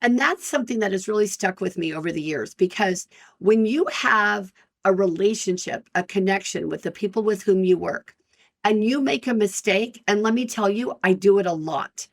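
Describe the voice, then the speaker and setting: A woman in her fifties, an employment lawyer hosting her own podcast, talking frankly and directly to listeners in a loud, energetic voice. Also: medium pitch, normal speed. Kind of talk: solo podcast talk.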